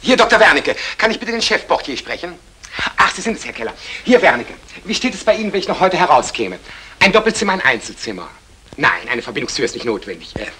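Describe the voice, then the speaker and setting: An actor playing a suave, courteous doctor, formal and ingratiating, in a vintage German radio comedy. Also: male voice, medium pitch, bright, forceful tone, slight background noise. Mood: smug. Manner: ingratiating, formal, polite chuckles. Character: suave, courteous male doctor, an eager flatterer. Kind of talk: 1960 German radio comedy crime play.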